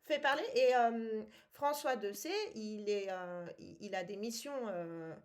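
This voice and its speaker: A woman's voice: medium pitch, measured pace, darkish tone. A woman co-hosting a casual book podcast, talking with many hesitations and frequent laughs. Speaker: podcast co-host. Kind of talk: casual book-club chat.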